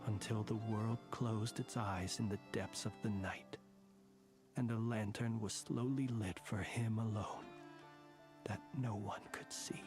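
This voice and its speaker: A man's voice: fairly low pitch, fairly even intonation, natural voice. Guy voicing serious polite soldier in a video game, careful formal wording, bit of music behind. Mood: somber. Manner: formal, measured, deliberate phrasing. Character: grave, composed, courtly male soldier, quietly vengeful. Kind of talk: scripted video game voice acting.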